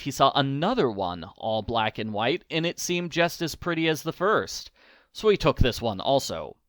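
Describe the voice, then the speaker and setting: Bright, animated man reading a storybook. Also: medium pitch, dry recording, studio quality. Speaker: storybook reader. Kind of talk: animated children's read-aloud narration.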